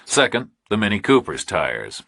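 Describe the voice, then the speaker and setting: A man reading a scripted thriller audiobook with measured, clipped, dramatic phrasing. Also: lowish voice, huge pitch swings, vocal fry, fairly bright tone, husky, no room sound, clean studio sound. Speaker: audiobook narrator.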